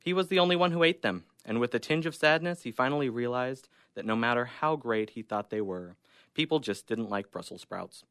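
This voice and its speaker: A man's voice: mid-range voice, expressive, a little fast, fairly bright tone, clean tone, no room sound, studio quality. Young man reading a short story. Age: young adult. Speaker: student writer reading own story. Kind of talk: scripted story narration.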